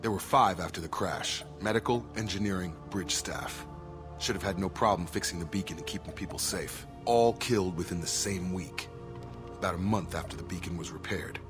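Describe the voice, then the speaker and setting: A very low-voiced actor as a brooding soldier from a video game. He is grim and plain-spoken, speaking his scripted lines over loud music. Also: moderate pace, close to an everyday voice. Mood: grim, troubled. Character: grim soldier confronting his father's crimes.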